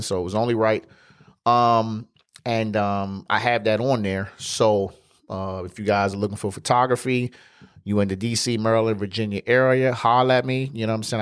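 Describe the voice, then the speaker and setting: A man, hosting a casual solo sports podcast in his home studio, stuffy and congested, talking in a relaxed, slangy way. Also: on the low side, clear, resonant tone. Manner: relaxed, conversational, loose slang. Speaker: podcast host.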